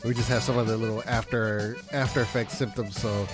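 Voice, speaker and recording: A radio host whose voice comes out hoarse and raspy, booming through his introductions in an announcer's manner. Music plays clearly behind him in the studio. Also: fairly even intonation, a little fast. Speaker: podcast host. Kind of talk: radio podcast interview.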